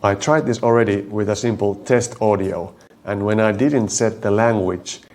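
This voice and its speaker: A man's voice: fairly low pitch, steady tone, darkish tone. Finnish-accented man thinking aloud over code. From Finland. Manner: thinks aloud while typing, slows to spell out code. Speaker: coding tutorial host. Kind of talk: live coding walkthrough.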